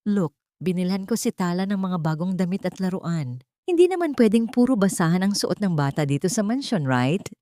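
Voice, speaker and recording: Audio story villainess, played by a female narrator: rich young woman, sugary fake concern, lowish dark tone, Taglish. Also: expressive, normal speed, no room sound, close to an everyday voice. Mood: feigned sweet concern. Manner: sweet, pleading, mixing English and Tagalog.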